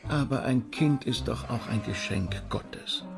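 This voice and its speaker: An actor playing an earnest, tactful man who pleads politely and gently in a radio drama. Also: mid-range voice, unhurried, creaky, over music. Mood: earnest pleading. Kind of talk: German radio drama acting.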